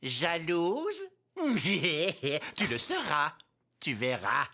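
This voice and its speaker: A woman's voice: sits low, wildly swooping pitch, drawn-out words, pushed and bright, heavily acted. A woman voicing a proud inventor mother who teases others sarcastically. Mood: teasing. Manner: sarcastic, teasing.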